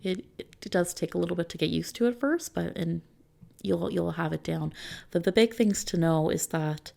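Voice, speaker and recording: A soft-spoken woman in an ASMR roleplay, gently and reassuringly explaining things like a service consultant, with breathy edges that drift toward a whisper. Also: on the low side, moderate pace. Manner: gentle, reassuring, checking in with the listener.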